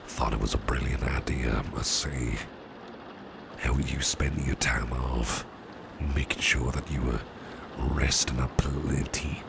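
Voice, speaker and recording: A man playing a doting, protective businessman boss with a sly edge in an ASMR roleplay, gravelly and breathy at times, with genteel, old-fashioned phrasing. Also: very deep voice, noisy background, exaggerated voice. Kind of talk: ASMR roleplay, soft personal attention.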